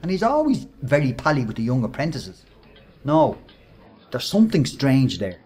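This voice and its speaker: An actor playing a bullying, mocking Irish workmate with a Dublin accent and a rough voice in a radio play. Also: male voice, medium pitch, huge pitch swings, moderate pace, a little mellow. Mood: sneering, insinuating. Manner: mocking, insinuating, aggressive. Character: bullying, sneering male workmate, quick to threaten.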